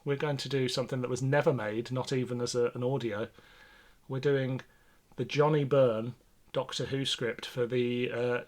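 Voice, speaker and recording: A man's voice: mid-range voice, fairly even intonation, moderate pace, darkish tone. A man co-hosting a podcast in casual conversation, informal and thinking aloud as he goes. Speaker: podcast host. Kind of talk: casual discussion.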